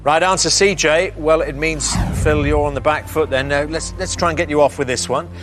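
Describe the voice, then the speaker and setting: A man hosting a television quiz show in a studio, friendly and bantering, reading questions with measured pauses. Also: on the high side, fairly even intonation, fairly bright tone, soft background music.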